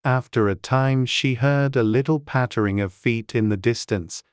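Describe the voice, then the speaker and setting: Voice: medium pitch, moderate pace, clean tone, no room sound, studio quality. A narrator reading a children's storybook as a scripted audiobook.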